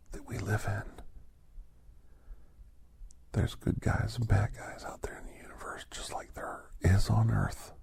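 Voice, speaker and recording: A man playing a genial older doctor with a secret past, telling folksy ASMR stories in an unhurried, breathy, gravelly half-whisper. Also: lowish voice, warm tone, dry recording, full character voice. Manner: unhurried, folksy, halting, conversational. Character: genial old doctor, a retired secret government scientist, reminiscing. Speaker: storytelling podcast host in character. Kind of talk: ASMR storytelling, soft-spoken roleplay.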